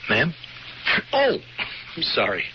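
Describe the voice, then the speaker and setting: An actor playing a plainspoken, persistent state trooper in a radio drama, with a halting, polite, probing manner. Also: male voice, mid-range voice, huge pitch swings. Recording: dull, slightly noisy vintage radio recording.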